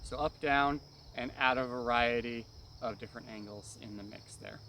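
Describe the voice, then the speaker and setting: A man's voice: mid-range voice, moderate pace, sharp tone, slight background noise, low-quality recording. A man giving hands-on martial arts instruction in short, clipped phrases, often adding brief check-in tags. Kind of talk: hands-on technique demonstration, instructional.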